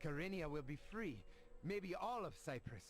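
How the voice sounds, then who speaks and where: A man's voice: fairly high pitch, animated, normal speed, soft background music. A man acting a breezy medieval sailor informant with a colloquial manner in a video game cutscene. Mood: eager.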